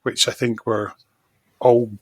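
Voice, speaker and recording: A man's voice: mid-range voice, level tone, slow pace, husky, no room sound. A man chatting effusively as an enthusiastic podcast guest in a casual fan discussion. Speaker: podcast guest, magazine editor.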